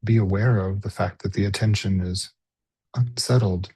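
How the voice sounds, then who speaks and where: Soft low-voiced guy teaching a body scan, really breathy n husky, gentle, lots of pauses. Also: fairly even intonation, dry recording. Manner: gentle, unhurried, pausing between short phrases. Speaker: mindfulness meditation teacher. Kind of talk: guided body scan meditation.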